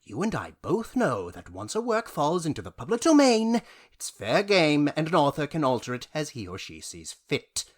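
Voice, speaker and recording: A man playing a grave gentleman storyteller in an audio drama, speaking in a formal, theatrical way. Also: on the high side, huge pitch swings, normal speed. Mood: insistent.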